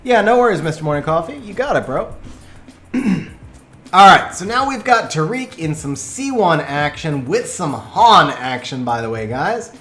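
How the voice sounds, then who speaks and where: A man giving lively live commentary on game replays and chatting with viewers, his voice getting worn from a long stream. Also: on the high side, reverberant, faint music behind. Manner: animated, quick play-by-play, bursts of excitement.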